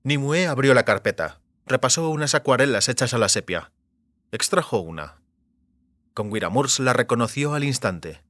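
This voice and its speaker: A man's voice: medium pitch, lively intonation, moderate pace, a bit bright, no room sound. A man narrating a fantasy novel as scripted audiobook narration.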